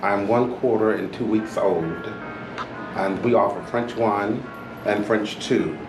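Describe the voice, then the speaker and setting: Relaxed male teacher, lowish voice, echoey classroom, music playing behind. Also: takes it slowly. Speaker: French teacher. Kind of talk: open-house classroom talk. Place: school classroom.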